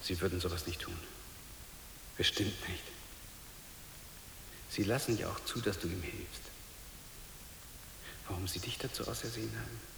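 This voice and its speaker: A low, creaky-voiced, troubled father in a radio drama. He speaks haltingly and pleads to keep his faith. The sound is hissy and rings in a large echoing hall. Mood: anxious. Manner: halting, repeats himself, pleading. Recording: hissy, echoey reverberant hall.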